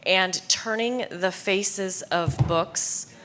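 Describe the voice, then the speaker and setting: A woman's voice: mid-range voice, drawn-out words, a bit bright. A woman bookseller speaking on a conference panel, hesitant with many fillers. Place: conference session room.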